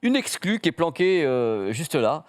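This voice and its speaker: A man who is the tech columnist on a live stream, chatting and joking in an excitable way. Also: a little high, a little slow, dry recording, clean studio sound. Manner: excitable, jokey storyteller. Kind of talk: casual chat on a live stream.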